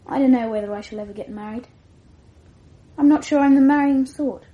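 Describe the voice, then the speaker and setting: A woman's voice: sits high, narrow, tinny audio. A woman playing a clever, self-assured twelve-year-old English schoolboy who talks in a precocious, wordy, self-deprecating way, in a radio drama. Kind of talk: 1980s radio drama acting.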